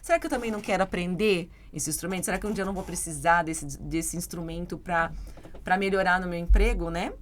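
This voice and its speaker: A woman's voice: on the low side, fast talker. Co-host: middle-aged brazilian woman, earnest, reflective, faint background hiss. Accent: Brazilian Portuguese. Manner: earnest, reflective, addresses listeners directly. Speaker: English teacher, podcast co-host. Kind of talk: casual chat.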